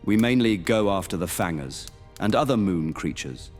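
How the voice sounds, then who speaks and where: A man playing a level-headed medieval knight who guides new recruits in a fantasy audio roleplay, measured and explanatory. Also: low-pitched, lively intonation, faint music behind. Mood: measured, cautioning.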